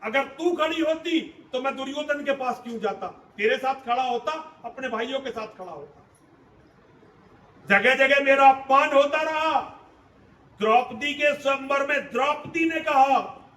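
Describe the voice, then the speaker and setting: A man's voice: very high-pitched, normal speed, a bit bright. A male storyteller voicing an angry warrior son who hurls repeated accusations at his mother. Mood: furious. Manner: accusing, rhetorical repetition. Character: furious wronged grown son, proud warrior. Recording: roomy hall, distant mic.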